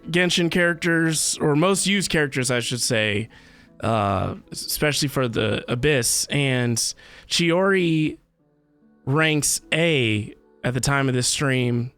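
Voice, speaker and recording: A man's voice: fairly high pitch, slow pace, a bit bright. A man hosting a casual game livestream, rambling and thinking aloud as he talks to viewers. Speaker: livestream host playing a video game. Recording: game music behind the voice. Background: video game music.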